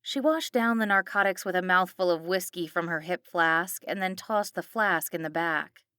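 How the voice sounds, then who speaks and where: Bright-voiced woman narrating horror fiction. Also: fairly low pitch, normal speed, smooth, dry recording, studio quality. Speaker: audiobook narrator.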